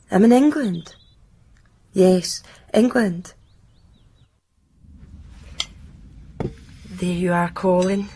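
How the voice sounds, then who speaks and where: A woman's voice: mid-range voice, dark tone, breathy edge. An actress playing a fragile, lovestruck young Scottish woman from Glasgow, telling her story intimately and wistfully in a radio drama. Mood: anxious, strained. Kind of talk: radio drama monologue and dialogue.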